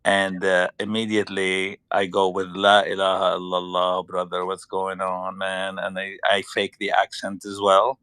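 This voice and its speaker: A man with a Lebanese accent telling a story in casual talk, halting and searching for words. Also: low voice, completely flat delivery, bright, forceful tone, clear, resonant tone, no room sound. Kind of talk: casual anecdote on a panel.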